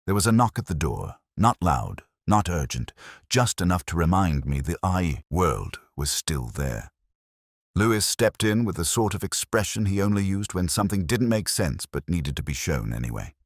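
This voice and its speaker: A man narrating a first-person detective story in a measured, literary style, brooding, deliberate and understated in his delivery. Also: deep voice, big pitch swings, no room sound, clean studio sound.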